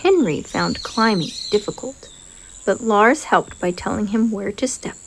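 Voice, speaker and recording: A woman reading a picture story aloud for children in an expressive narrating style. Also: mid-range voice, unhurried. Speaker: storybook reader.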